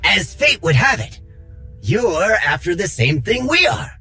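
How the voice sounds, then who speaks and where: Casting: persuasive rebel escape leader for a game, rough-edged voice, very expressive pitch, faint music. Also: male voice, a little slow, dry recording, full character voice. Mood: earnest, persuasive. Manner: earnest, informal, persuasive. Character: earnest, quick-thinking male rebel worker leading an escape. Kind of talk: video game cutscene acting.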